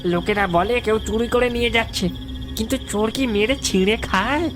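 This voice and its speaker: A woman's voice: on the high side, moderate pace, lightly acted. A woman playing a worried villager in a cartoon horror story. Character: worried villager reporting vanished livestock. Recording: noisy night ambience, crickets and frogs.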